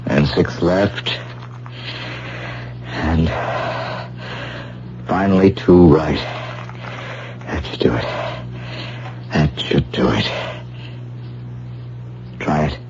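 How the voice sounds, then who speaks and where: An actor playing a wary man drawn into a risky heist in a radio drama, speaking tersely and haltingly. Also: rumbling low, lively intonation, noisy background, full character voice. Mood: nervous, hushed. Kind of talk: vintage radio drama acting. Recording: muffled, humming old radio broadcast recording.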